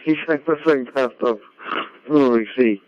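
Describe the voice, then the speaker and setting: A man, an amateur radio operator, chatting casually and haltingly over a radio link, often repeating his phrases. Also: darkish tone, slight background noise. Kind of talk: casual ham radio chat. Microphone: radio link played through a computer. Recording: dull low-fi digital radio link.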